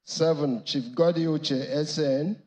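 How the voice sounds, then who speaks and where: A male lawyer with a Nigerian accent addresses a court in a formal, deferential register. His voice sits a little high, and he moves very slowly through each phrase with ceremonious care. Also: fairly even intonation, a little mellow. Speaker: lead counsel for petitioners in court. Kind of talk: formal courtroom announcement of appearances.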